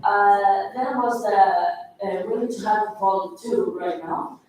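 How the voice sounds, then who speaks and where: A young woman from Greece giving a group presentation as a student, speaking in a hesitant, halting way. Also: mid-range voice, a little mellow. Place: large echoey room at a student plenary. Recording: very echoey hall, distant mic, faint noise.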